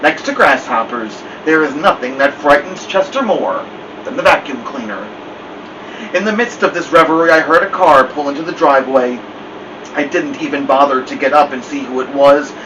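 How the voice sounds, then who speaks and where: A man's voice: on the high side, moderate pace, close to an everyday voice. A man voicing a wry, dignified old family dog in first-person storybook narration, with formal, digressive wording. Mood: wry, reflective. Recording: muffled, distant, noisy room hum.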